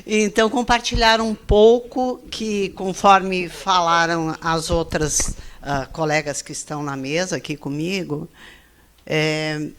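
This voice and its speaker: A woman, giving a measured panel presentation as an engineer. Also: lowish voice, fairly bright tone. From Rio Grande do Sul, Brazil. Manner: measured, slide-by-slide presenting with frequent "né?". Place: event hall, seated at a panel table.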